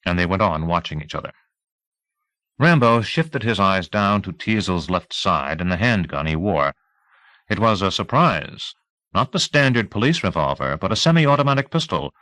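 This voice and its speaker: Guy reading an audiobook novel, lowish voice, measured n clear, dry sound. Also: expressive, fairly bright tone, no room sound. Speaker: audiobook narrator. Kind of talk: scripted novel narration.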